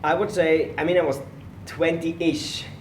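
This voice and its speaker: A man's voice: fairly high pitch, measured pace, distant mic, background noise. Man telling his story, roomy sound. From Switzerland. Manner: relaxed storytelling, slightly non-native phrasing. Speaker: guest, personal trainer. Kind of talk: casual podcast interview. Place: gym.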